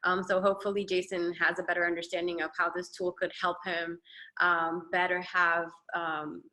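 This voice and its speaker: Webinar moderator, a woman with a bright, crisp voice, reads questions. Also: fairly low pitch, flat delivery, moderate pace, low-quality recording. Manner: reads out audience questions, friendly thanks. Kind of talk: moderating a live Q&A.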